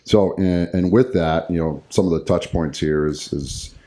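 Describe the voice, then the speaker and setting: A man's voice: low-pitched, a little slow, mellow. A middle-aged man co-hosting a podcast as a hockey coach, talking casually and rambling with many fillers and restarts.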